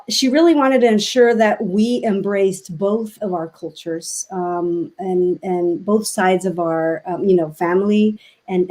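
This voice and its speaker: Reflective woman guest, halting speech. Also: medium pitch, darkish tone. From Mexico. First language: Spanish. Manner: reflective, halting with many ums. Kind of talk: casual interview chat.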